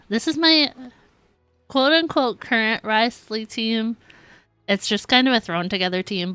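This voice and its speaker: A woman's voice: fairly high pitch, fairly bright tone, smooth, dry recording. A woman livestreaming a video game, chatting casually and informally with viewers in a giggly, easygoing way. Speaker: live streamer. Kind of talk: casual gaming livestream chat.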